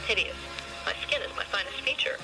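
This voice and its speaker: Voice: fairly quick, close to an everyday voice, low-quality recording. A performer voicing a vain, suffering female fashion model from the future in an audio science fiction story, with music behind. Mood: vain, demanding.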